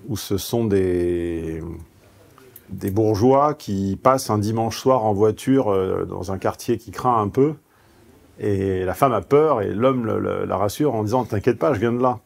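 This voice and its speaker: A man's voice: on the low side, gravelly, darkish tone. Frank, salty-tongued seminar speaker man. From southeastern France. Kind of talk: seminar talk.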